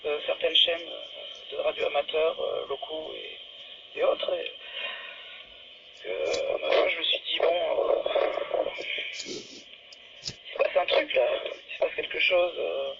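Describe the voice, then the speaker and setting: A woman speaking hesitantly and politely, with long pauses, as a beginner amateur radio operator in a casual exchange. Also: low-pitched, big pitch swings. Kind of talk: casual radio contact chat. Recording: dull, noisy two-way radio signal.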